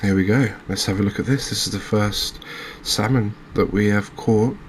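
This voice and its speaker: A man with a low-pitched voice narrates his gameplay in a soft ASMR style. His delivery stays very even, musing along in an unhurried, relaxed way with pauses. Faint ambient noise runs behind him. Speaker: gaming video host. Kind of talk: soft-spoken ASMR game commentary.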